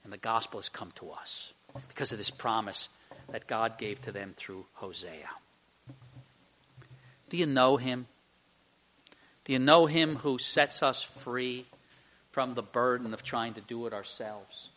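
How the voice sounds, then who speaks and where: A man's voice: medium pitch, a little slow, long pauses, bright, forceful tone, slight background noise. A man preaching an expository sermon as a pastor, speaking earnestly and emphatically, with repeated phrases and rhetorical questions.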